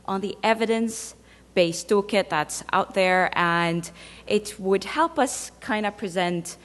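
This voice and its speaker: A woman's voice: medium pitch, takes it slowly, fairly bright tone. Egyptian-born woman speaking in a reverberant hall. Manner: conversational, with fillers and playful asides. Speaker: dentist giving a conference talk. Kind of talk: conference presentation. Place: large conference hall.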